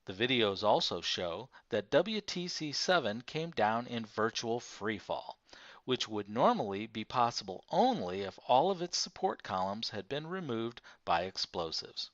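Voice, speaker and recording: A man's voice: mid-range voice, sing-song, unhurried, fairly bright tone, no echo, muffled recording. A man narrating nonfiction as an audiobook reader, reading from a prepared text.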